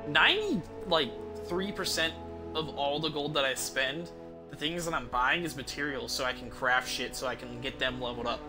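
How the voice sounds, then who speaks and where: A man's voice: medium pitch, normal speed, over music. A man casually commentating on his video game as a streamer, rambling and thinking aloud with offhand swearing.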